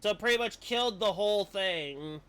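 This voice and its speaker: A man's voice: high voice, animated, moderate pace, a bit bright, smooth. A man streaming a game, reading the story text aloud with casual commentary and the odd stumble over words.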